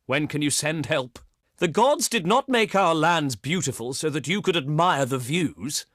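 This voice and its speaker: An actor playing a haughty, eloquent ancient Greek diplomat in a video game, speaking formally with dramatic pauses. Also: male voice, on the high side, lively intonation, a bit bright, no echo, studio quality. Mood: earnest pleading.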